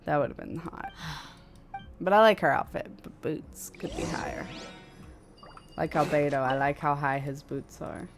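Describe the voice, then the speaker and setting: A woman's voice: deep voice, normal speed, creaky, long pauses. A young woman chatting casually while streaming a game, opinionated and rambling, with slangy, crude turns of phrase. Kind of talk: casual live gameplay chatter. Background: game music and dialogue.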